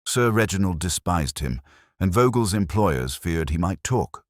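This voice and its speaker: Man voicing very deep, theatrical Victorian detective. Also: animated, a little slow, no echo, studio quality. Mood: composed, lecturing. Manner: theatrical, precise, declamatory. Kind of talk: audiobook detective story dialogue.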